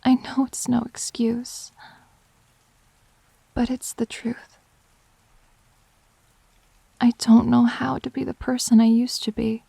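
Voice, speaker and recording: A woman playing a grieving, apologetic girlfriend who speaks haltingly and tenderly in an intimate audio drama. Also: a little high, flat delivery, gravelly, mellow, husky, no echo, heavily acted. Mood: grieving, ashamed. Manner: halting, tender, confessional delivery.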